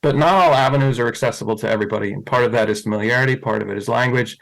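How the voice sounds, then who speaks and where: A man's voice: medium pitch, racing, vocal fry, a bit bright, rough recording. A middle-aged man answering questions as a school board candidate, thoughtful, with many fillers and restarts.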